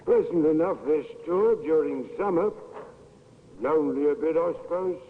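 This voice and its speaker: An actor playing a lonely English police constable, musing to himself in clipped phrases in a 1950s radio drama. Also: male voice, fairly high pitch, moderate pace, a little hiss or hum, close to an everyday voice. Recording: muffled, reverberant old radio recording.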